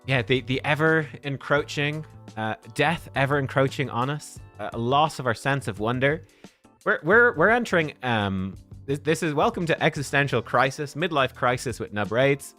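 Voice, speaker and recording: A man's voice: medium pitch, lively intonation, normal speed, pushed and bright, soft background music. A man in his thirties chatting casually with viewers on a game livestream, rambling and joking at his own expense. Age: 30s.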